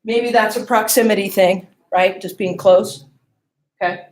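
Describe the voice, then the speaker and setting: A woman's voice: mid-range voice, steady tone, unhurried, reverberant. A woman giving a classroom lecture, often asking the class questions and checking in with "okay?" and "right?".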